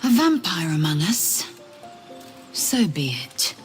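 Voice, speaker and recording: A woman playing a stern, wary fantasy adventurer in a video game, speaking in a measured, menacing way. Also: lowish voice, sing-song, over music. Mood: wary, threatening.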